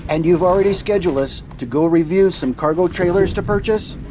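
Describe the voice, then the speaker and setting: Male trucker-captain host, slightly high voice, casual and folksy, loud vehicle noise throughout. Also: fairly quick. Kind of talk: semi-scripted casual show banter.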